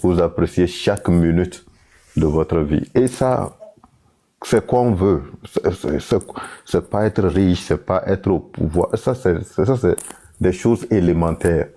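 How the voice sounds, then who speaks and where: A man's voice: low-pitched, measured pace, mellow. A young man with a Togolese accent giving an earnest, casual tribute, dropping in English connecting words. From Togo. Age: young adult. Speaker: participant and moderator in a live online panel.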